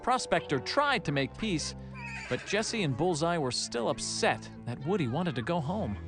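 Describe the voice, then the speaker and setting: A man narrating a scripted children's read-along story in an expressive, animated storytelling manner. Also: a little high, normal speed. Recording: music behind the narration.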